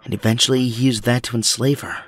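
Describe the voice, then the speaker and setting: A man's voice: mid-range voice, little pitch movement, fast, no room sound, natural voice. A man acting in an audio drama as a determined, embittered resistance strategist with a serious, pointed manner. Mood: somber.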